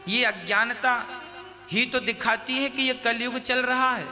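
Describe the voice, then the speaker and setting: A man's voice: very high-pitched, steady tone, measured pace, in a large hall. A man preaching a devotional sermon, scolding and questioning his listeners with rhetorical questions.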